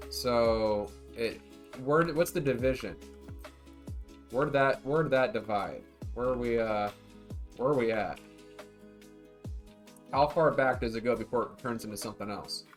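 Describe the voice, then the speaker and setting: A man streaming a zoo-building game, chatting casually and rambling to his viewers as he plays. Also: mid-range voice. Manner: laid-back, rambling, talks to chat. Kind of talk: casual livestream chat.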